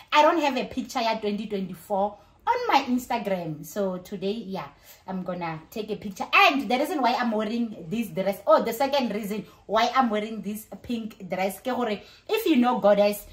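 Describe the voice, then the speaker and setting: A woman's voice: medium pitch, moderate pace. A woman in her thirties with a South African accent, chatting casually and expressively as a vlogger and switching between languages. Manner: excited, expressive. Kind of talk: casual vlog chat mixing in another language. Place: living room and kitchen at home.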